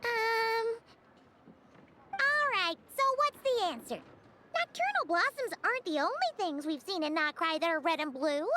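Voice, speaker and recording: A woman voicing a tiny, childlike, excitable floating companion in a video game, squeaky and exclamatory. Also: a little slow, very noisy. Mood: puzzled, sceptical. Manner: exclamatory, speaks of herself in third person. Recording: clean studio voice under loud music. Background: loud game music.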